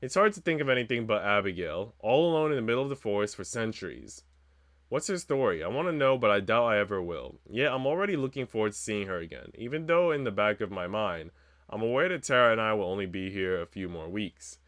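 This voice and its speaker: A man's voice: lowish voice, lively intonation, fast, fairly bright tone. A man narrating a visual novel aloud as a streamer, reading out first-person story text. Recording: game music behind the voice. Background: visual novel music.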